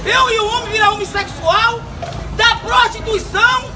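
Bahian street preacher guy, super high voice, fervent, shouting, phone recording full of crowd and traffic. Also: steady tone, normal speed. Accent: Bahian Brazilian Portuguese. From Bahia, Brazil. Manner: fervent, shouting bursts, repeats words. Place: city street and inside a bus. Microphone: handheld phone camera.

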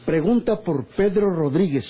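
An actor playing a stern, commanding middle-aged hacienda master in an old radionovela. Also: male voice, high-pitched, lively intonation, breathy edge. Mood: stern, controlled. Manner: authoritative, measured. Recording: tinny, phone-like old radio drama recording.

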